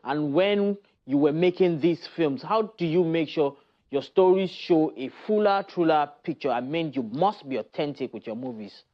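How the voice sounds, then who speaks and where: A man's voice: on the high side, animated, normal speed. A man hosting an entertainment news interview in a polished, upbeat manner in a TV studio. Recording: slightly dull broadcast audio with faint music.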